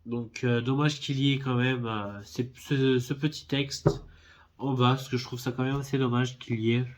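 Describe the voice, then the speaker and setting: A man's voice: mid-range voice, fairly even intonation, moderate pace, a little hiss or hum. A man with a stuffy head cold casually presenting a collector's box set, hesitant and friendly, with lots of filler sounds. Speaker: film collector YouTuber. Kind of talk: casual unboxing video.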